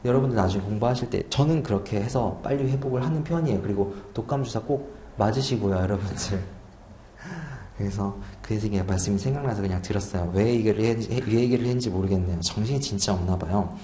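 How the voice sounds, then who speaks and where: A man's voice: lowish voice, fast talker, dark tone. A man in his twenties, an exam prep lecturer, chatting casually and laughing at himself during a lecture. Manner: chatty, self-deprecating, laughs often. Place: lecture room. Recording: echoey room, faint hum.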